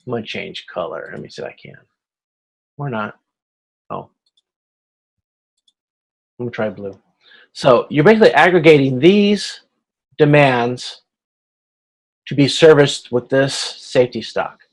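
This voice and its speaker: A man instructing in an informal online tutorial, thinking aloud in a halting way, correcting himself and checking that he is being followed. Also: medium pitch, very animated, gravelly. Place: online video conference.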